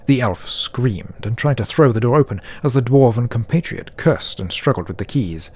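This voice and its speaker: A man reading a scripted fantasy story as narrator, with dramatic, deliberate phrasing. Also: mid-range voice, expressive, a little mellow, husky. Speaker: audiobook narrator.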